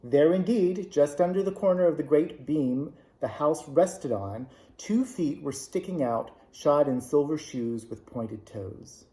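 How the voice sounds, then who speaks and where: A man reading a classic children's novel aloud, with measured, expressive narration. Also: on the high side. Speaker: storybook reader. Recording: roomy echo.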